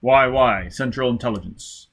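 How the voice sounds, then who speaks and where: A man's voice: mid-range voice, moderate pace, a bit bright. A man voicing an urgent official who issues security orders in an audiobook, in clipped, commanding bulletin phrases.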